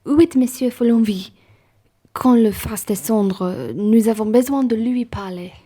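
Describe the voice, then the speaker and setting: A dignified aristocratic gentleman acted by a woman with a husky, muted voice. He speaks formally and emphatically, with theatrical indignation, as in an amateur radio play. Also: a little high, a little slow. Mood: indignant, commanding.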